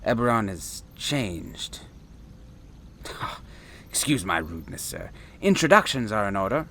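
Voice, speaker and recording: Actor as wry mysterious stranger, courteous, cryptic, slow pacing, expressive pitch, sci-fi audio drama. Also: male voice, slight background noise. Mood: wry courtesy. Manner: measured, polite, cryptic. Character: enigmatic, courteous wandering traveler, wry and ageless. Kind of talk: full-cast sci-fi audio drama acting.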